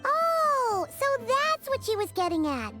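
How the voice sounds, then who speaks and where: A woman's voice: very high-pitched, big pitch swings, slow pace, over loud music, full character voice. A woman voicing a tiny, chirpy, curious and cheeky fairy-like companion in a video game, perky and exclamatory.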